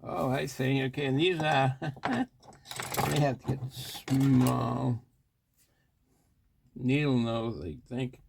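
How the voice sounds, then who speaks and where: Male hobbyist host, casual teardown commentary, creaky mid-pitched voice, halting and self-directed, noisy home recording with clicks. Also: fairly even intonation. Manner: halting, muttering to himself while working. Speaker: hobbyist reviewer and video host.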